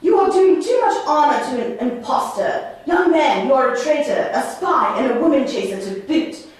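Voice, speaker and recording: A woman's voice: high-pitched, lively intonation, moderate pace. A woman playing an imperious older male sorcerer and stern father, in commanding, declamatory stage dialogue. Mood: scornful. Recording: very echoey room, far from mic.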